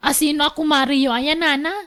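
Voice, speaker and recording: A woman performs a younger woman with a distinctly high voice, looking after an elderly mother. She is long-suffering and earnest, reasoning her way through a radio drama. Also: fairly even intonation, fairly quick, fairly bright tone. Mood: questioning.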